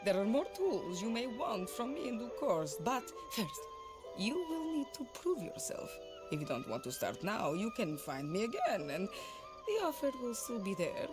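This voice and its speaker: An actress playing a mysterious, shrewd collector in a western video game, with measured, drawn-out, theatrical phrasing. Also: female voice, on the high side, wildly swooping pitch. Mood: enigmatic, inviting. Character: mysterious, shrewd woman collector recruiting treasure finders. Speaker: collector and merchant. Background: music.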